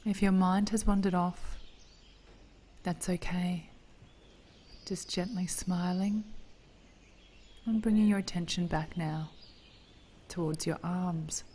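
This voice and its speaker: Female meditation teacher: dark, soft tone, very slow gentle pacing, near-whispered phrase endings, light birdsong ambience. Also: lowish voice, steady tone. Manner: soothing, gentle, breathy delivery drifting toward a whisper. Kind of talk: guided body scan meditation.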